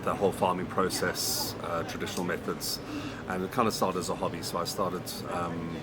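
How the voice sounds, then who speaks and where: A man's voice: low voice, flat delivery, a little fast, over loud music. A middle-aged man from Zambia, a small restaurant owner, explaining his work in an interview. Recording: loud urban background noise.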